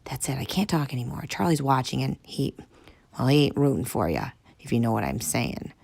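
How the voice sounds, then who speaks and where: A woman voicing a huge, secretive male guard who talks in a deep, throaty, hushed whisper. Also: very animated, gravelly, dry recording, exaggerated voice. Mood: hushed urgent. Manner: hushed and hurried. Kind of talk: audiobook character voice.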